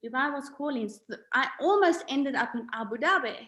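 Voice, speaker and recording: A middle-aged woman from South Africa, a guest on a video-call interview, telling her story in an animated, emphatic way. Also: sits high, fairly quick, reverberant. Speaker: podcast guest, health coach. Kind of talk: casual interview chat. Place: home room with a mirror.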